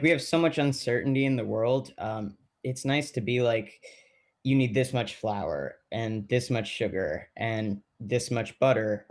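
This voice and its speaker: A man playing a thoughtful, caring amateur baker in documentary theater, talking casually and haltingly. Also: medium pitch, lightly acted.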